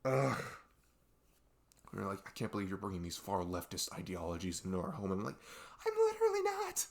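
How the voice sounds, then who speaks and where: Male actor as young guy best friend, low voice, ASMR roleplay, rambling, halting, exasperated, confiding. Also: wildly swooping pitch, gravelly, exaggerated voice. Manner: rambling, halting, exasperated sighs and nervous laughs. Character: young male best friend venting about arguing with parents.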